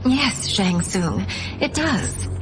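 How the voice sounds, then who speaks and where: Resolute warrior princess, regal, formal, firm, mid-pitched, game cutscene, loud score behind. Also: female voice, lively intonation, extremely slow. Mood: guarded, composed. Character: proud, resolute warrior princess, poised and cunning. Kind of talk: video game cutscene acting. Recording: loud music under the voice.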